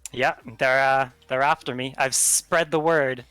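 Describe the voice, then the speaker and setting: A woman's voice: extremely low pitch, steady tone, measured pace, a bit bright, clear, resonant tone, dry recording, soft background music, rough recording. A woman from New Zealand with a light New Zealand accent, a guest chatting casually on a livestream in an easygoing, self-deprecating way. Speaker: guest streamer.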